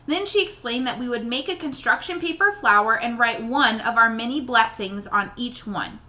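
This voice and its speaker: Woman, high pitch, bright tone, expressive storybook narration, read-aloud for young listeners. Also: moderate pace.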